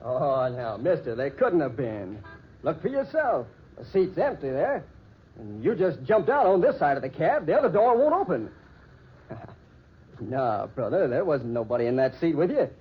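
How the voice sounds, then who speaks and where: High-pitched, folksy cabbie. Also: male voice, wildly swooping pitch, normal speed. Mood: reassuring. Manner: folksy, colloquial, obliging. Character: chatty, down-to-earth working-class male cab driver. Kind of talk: old-time radio drama acting. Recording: muffled old radio recording, faint noise.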